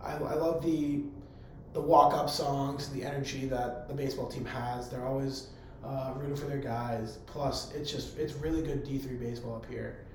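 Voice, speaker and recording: A young man with a hoarse voice talks eagerly and loosely, piling up fillers and restarts. His voice sits at an average pitch and bounces around a strongly echoing room. A faint hum runs underneath. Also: steady tone, moderate pace. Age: young adult. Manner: eager, rambling, filler-heavy. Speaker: college public address announcer. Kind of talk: casual interview answers. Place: room on a college campus.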